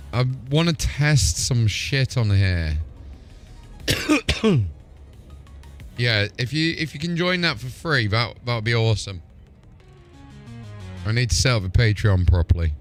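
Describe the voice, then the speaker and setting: Casual low-voiced man streaming a game. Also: fairly bright tone, clear, resonant tone. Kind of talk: casual livestream chat over gameplay. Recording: music playing behind the voice. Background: music.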